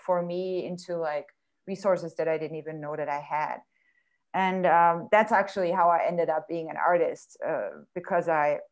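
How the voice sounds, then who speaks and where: A woman from Austria, an artist and former therapist, speaking on a video-call panel with halting, filler-filled phrasing. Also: lowish voice. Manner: searching, filler-heavy, repeats words. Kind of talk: personal account on a panel.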